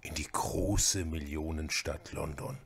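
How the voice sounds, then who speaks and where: A man narrating a radio crime drama as a deliberate, scripted storyteller. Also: very deep voice, fairly even intonation, creaky, over loud music.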